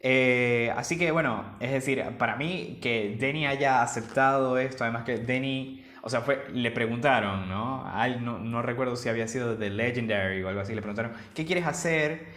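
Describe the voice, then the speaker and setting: A man's voice: mid-range voice, moderate pace, hardly any pauses, fairly bright tone. Male podcast co-host from Venezuela, eager and rambling, recorded in a reverberant room. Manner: enthusiastic, rambling with many restarts. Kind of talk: casual chat. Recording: roomy echo.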